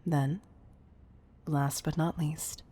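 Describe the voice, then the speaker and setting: A woman playing a wealthy, obsessive CEO in an intimate audio roleplay, speaking in a breathy, gravelly murmur that is affectionate and confessional. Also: low-pitched, level tone, drawn-out words, dark tone, heavily acted. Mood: confiding, possessive. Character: wealthy obsessive female CEO, tender yet controlling. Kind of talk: intimate audio roleplay monologue, partly whispered.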